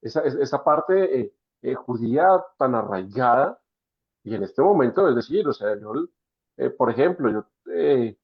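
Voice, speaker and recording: Brief: Colombian man, new student, mid-pitch voice over muffled video call audio, rambling with restarts. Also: animated, moderate pace, gravelly, slightly rough. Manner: rambling, many restarts and fillers. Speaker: new class participant. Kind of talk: spontaneous comment in an online class.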